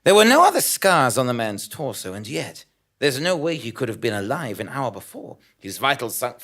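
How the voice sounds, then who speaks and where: A man playing an excitable, blundering investigative journalist who narrates his own comic audio drama with theatrical exclamations. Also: mid-range voice, normal speed. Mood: dramatic bafflement.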